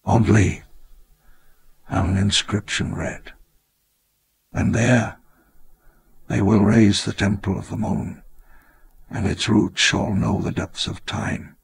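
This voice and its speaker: An actor playing a wistful elderly park founder, narrating his memoir in measured, reflective storytelling. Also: on the low side, vocal fry, heavily acted. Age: older. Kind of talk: scripted memoir narration in a game.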